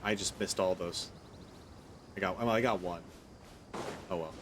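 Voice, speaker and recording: A man's voice: sits low, unhurried, background noise. A man doing casual let's play commentary as a gaming streamer, with a sceptical, sarcastic and blunt manner.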